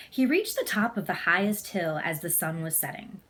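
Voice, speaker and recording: A woman's voice: mid-range voice, fairly bright tone, a little hiss or hum. A woman narrating a children's story as a read-aloud. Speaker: storybook reader.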